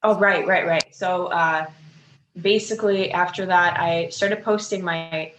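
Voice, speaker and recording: A woman's voice: on the low side, fairly even intonation, measured pace, a bit bright. A woman from Chicago talking casually and candidly as a fitness coach being interviewed. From Chicago, United States. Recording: echoey room with faint background noise.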